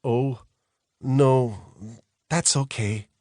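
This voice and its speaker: A man's voice: medium pitch, drawn-out words, a little mellow, no echo, studio quality. A man voicing a shy young woman student, polite and hesitant, in an audiobook. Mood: disappointed, polite.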